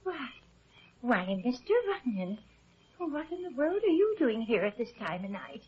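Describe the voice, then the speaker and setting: A husky, fussy farm wife. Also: female voice, a little high, big pitch swings, unhurried, full character voice. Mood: flustered, scandalised. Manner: flustered, exclaiming, folksy. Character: fussy, scolding, easily scandalised country farmer's wife. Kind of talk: old-time radio drama acting. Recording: muffled lo-fi old radio recording.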